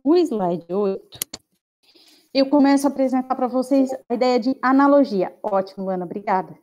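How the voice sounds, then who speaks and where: A woman's voice: high-pitched, moderate pace, a little mellow, muffled recording. A woman with a Brazilian Portuguese accent teaching an online class, explaining patiently and checking in with her students often.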